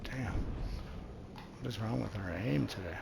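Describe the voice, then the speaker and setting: A man's voice: on the low side, measured pace, vocal fry, heavy background noise. A man from New Mexico giving chatty, self-deprecating commentary over his own gameplay as the host of a gaming channel.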